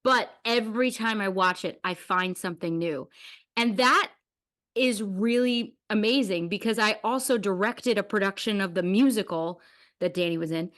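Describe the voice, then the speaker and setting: A woman's voice: mid-range voice, moderate pace, a bit bright. A woman hosting a podcast, chatting casually in an effusive, informal way. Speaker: podcast host.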